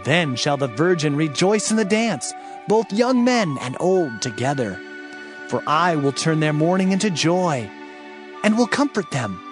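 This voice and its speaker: A man narrating scripture in a solemn, declamatory style, as a dramatic scripted reading. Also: fairly high pitch.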